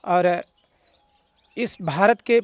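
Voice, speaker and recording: A man's voice: sits high, no room sound. A man in his thirties who sings devotional songs, speaking formally and devoutly. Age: 30s. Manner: devout, formal, slow drawn-out chanting. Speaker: devotional singer. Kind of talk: interview answers and bhajan singing.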